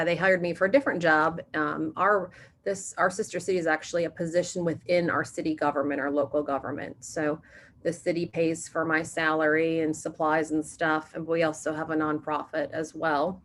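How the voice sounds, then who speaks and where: A woman's voice: sits low, a little hiss or hum. A woman who directs a city's sister cities program, introducing herself chattily on a video call and correcting herself as she goes.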